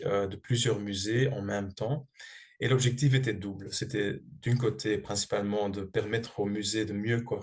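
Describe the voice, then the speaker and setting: A man's voice: on the low side, darkish tone. A man, a French speaker giving a research presentation, hesitant with many fillers and restarts. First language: not French. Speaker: guest speaker, research coordinator. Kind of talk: presentation talk.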